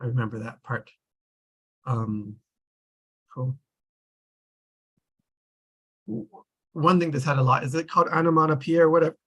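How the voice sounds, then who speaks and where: A man's voice: fairly high pitch, brisk, sharp tone, husky, low-quality recording. A man hosting a casual group discussion, talking in a loose, rambling way that often trails off. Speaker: discussion host.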